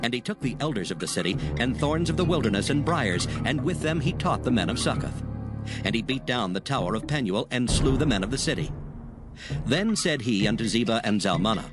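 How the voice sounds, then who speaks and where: A male narrator of dramatized scripture with a mid-pitched voice. His solemn, measured storytelling rises and falls expressively, with music clearly playing beneath it. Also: animated.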